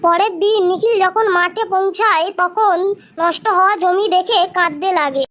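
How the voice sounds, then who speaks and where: A woman's voice: extremely high pitch, moderate pace, a bit bright, muffled recording. A woman reading scripted narration for a children's cartoon story.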